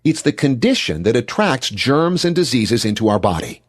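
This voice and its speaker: Husky man narrating with lively, swooping pitch. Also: moderate pace. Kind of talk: scripted explanatory narration.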